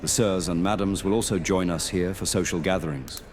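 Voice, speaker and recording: A man playing a level-headed medieval knight who guides new recruits in a fantasy audio roleplay, measured and explanatory. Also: low-pitched, steady tone, slight background noise, close to an everyday voice. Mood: welcoming, explanatory.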